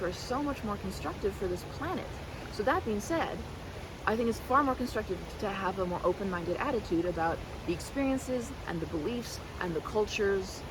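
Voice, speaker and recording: A woman's voice: on the high side, noisy background. A woman rambling casually and animatedly like a chatty best friend, slipping into mimicked quotes in a roleplay.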